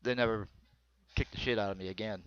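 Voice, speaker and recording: A man's voice: on the low side, steady tone, fairly quick, a bit bright, smooth, dry recording, muffled recording. A middle-aged man with an American accent tells comic personal stories in a colloquial, rambling, crude-humored way. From small town in northwestern Pennsylvania, United States. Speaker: comedian telling stories. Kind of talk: comic personal storytelling monologue.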